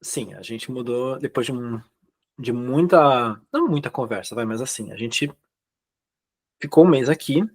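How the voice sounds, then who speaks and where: A young Brazilian man speaking Brazilian Portuguese, recounting his experiences in a relaxed, chatty way with many fillers and quoted dialogue. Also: mid-range voice, measured pace, breathy edge. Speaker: guest. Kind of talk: casual interview chat.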